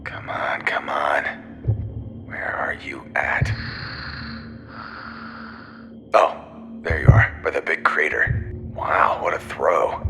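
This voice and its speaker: A man's voice: a bass voice, pushed and bright. A man playing an easygoing, teasing astronaut in an audio drama, talking casually over a radio. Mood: relieved, musing. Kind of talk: naturalistic audio drama acting.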